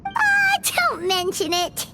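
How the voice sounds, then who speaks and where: A woman voicing a tiny, childlike, excitable fairy companion in an anime video game, with a squeaky voice. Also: slow pace, exaggerated voice. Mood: excited. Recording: loud music behind the voice. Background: loud game music.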